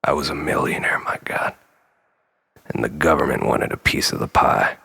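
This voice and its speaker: A man's voice: rumbling low, lively intonation, normal speed, gravelly, bright, forceful tone, breathy edge. A man delivering a solemn, theatrical, darkly comic monologue in a brooding style.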